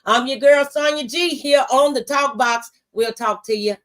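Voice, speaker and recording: A woman's voice: high voice, normal speed, fairly bright tone. A woman hosting a faith-based radio talk show, speaking in an encouraging, preacherly manner.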